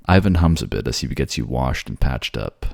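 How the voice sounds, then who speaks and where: A man's voice: rumbling low, very animated, moderate pace, gravelly, darkish tone, no echo, clean studio sound. A man narrating a second-person story in an intimate ASMR style. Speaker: voice actor narrating.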